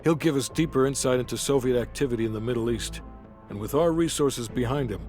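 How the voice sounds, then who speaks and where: A male actor voices a seasoned intelligence officer in a game cutscene. He reports in a businesslike, controlled way at a middling pitch. Music is clearly heard behind him. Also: fast, a little mellow, close to an everyday voice. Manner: matter-of-fact, controlled. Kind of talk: cinematic video game acting.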